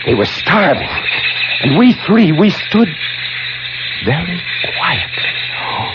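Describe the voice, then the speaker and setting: An actor playing a frightened lighthouse keeper who recounts a horror tale in a theatrical, vivid radio drama style, moving between hushed and urgent. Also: male voice, sits high, big pitch swings, takes it slowly, full character voice. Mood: hushed dread. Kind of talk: 1940s-50s radio horror acting, first-person narration. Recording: muffled old radio recording with loud music.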